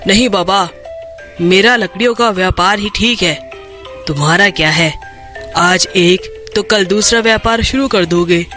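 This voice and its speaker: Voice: mid-range voice, measured pace, bright, forceful tone, faint music behind, voice pushed down. A storyteller voicing an honest, hardworking woodcutter who speaks earnestly and respectfully. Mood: firm.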